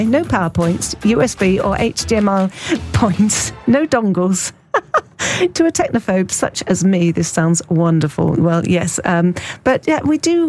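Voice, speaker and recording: A woman presenting a hospital radio show, chatting casually between tracks with ums and chuckles, her voice a bit croaky. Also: mid-range voice, a little mellow. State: slightly croaky.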